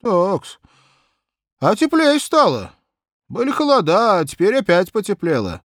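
Man acting a high-pitched, chatty young cabman. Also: huge pitch swings, measured pace, no echo, studio quality, heavily acted. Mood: complaining, talkative. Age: young adult. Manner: rambling, folksy, plaintive. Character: chatty young peasant cabman, pampered and simple-hearted. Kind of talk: audiobook character acting.

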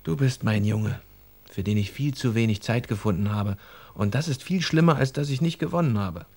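A gentle father in a children's radio play, with a mid-pitched voice. He speaks plainly and soft-heartedly to his child. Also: moderate pace, breathy edge. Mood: tender, regretful. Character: gentle, regretful father of a young boy. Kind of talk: children's radio drama acting.

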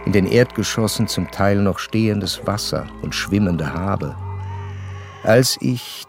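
A man acting as a gentleman narrator, telling a literary story in a measured, sober way for a radio drama. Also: lowish voice, creaky. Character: observant gentleman narrator recalling a poor musician.

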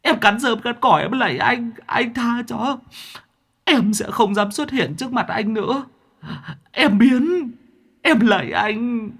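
A man's voice: lively intonation, takes it slowly, vocal fry, fairly bright tone, breathy edge, full character voice. A man doing a whiny young ghost. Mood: begging. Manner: pleading, whiny high-pitched begging. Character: whimpering young male ghost, talkative.

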